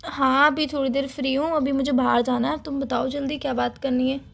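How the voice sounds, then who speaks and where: A woman's voice: high-pitched, little pitch movement, fairly quick, vocal fry, fairly bright tone. A young woman playing a busy, impatient girlfriend in an everyday phone-call drama, defensive and insistent. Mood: hurried, distracted. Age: young adult.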